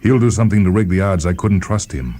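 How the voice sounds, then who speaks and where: A man's voice: low voice, lively intonation, fast, dark tone, pitched above the performer's own voice. A big, shrewish woman scientist aboard a starship, acted out by a male narrator in an audio story. She talks curtly and snaps with abrasive distrust. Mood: snappish, distrustful. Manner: snappish, curt, distrustful. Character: huge, shrewish, abrasive female spaceship scientist.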